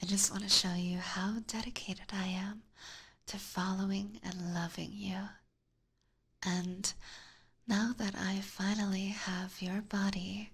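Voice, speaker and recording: A slow, breathy whisper from a woman playing a seductive vampire catgirl. She is possessive and sweetly menacing, teasing her listener at very close range in an ASMR roleplay. There is a soft, gravelly creak in her delivery. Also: mid-range voice, heavily acted. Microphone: close binaural microphone.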